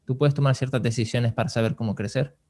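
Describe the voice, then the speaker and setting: A man's voice: medium pitch, fairly even intonation, fast talker, a little mellow. A man with a mid-range voice hosts a conversational podcast. He gives advice in an informal, explanatory manner, talking through his points as he goes. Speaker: podcast host and marketing advisor.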